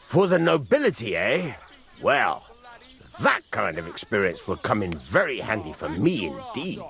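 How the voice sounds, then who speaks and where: An actor playing a gruff middle-aged village blacksmith in a video game, husky, blunt and rustic, giving plain instruction. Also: male voice, fairly low pitch, wildly swooping pitch, a little slow, a bit bright, heavily acted. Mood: businesslike. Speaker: master blacksmith. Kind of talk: video game character acting.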